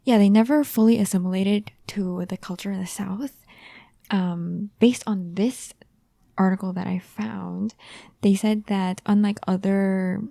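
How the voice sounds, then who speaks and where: A young woman co-hosting a casual podcast chat, talking softly and often dropping to a near whisper. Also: mid-range voice, steady tone, measured pace, creaky, no echo, clean studio sound. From family of Chinese ancestry raised in India. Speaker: podcast co-host.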